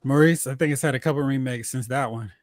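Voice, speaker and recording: A man's voice: medium pitch, quick pace, no room sound. A man hosting a movie talk show, chatting casually and jokingly about films and recapping news.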